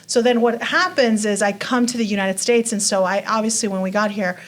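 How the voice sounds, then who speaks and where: A woman's voice: medium pitch, brisk. A woman in her forties, from Mexico, telling her life story in a casual, chatty way with many fillers. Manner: chatty, lots of fillers like "you know" and "like". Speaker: interview guest.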